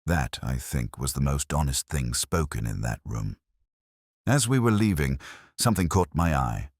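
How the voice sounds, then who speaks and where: A man narrating a mystery in the first person as a weary, reflective detective, in a measured, literary, brooding manner. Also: rumbling low, animated, breathy edge, no echo, clean studio sound. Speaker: narrator of a detective audio drama.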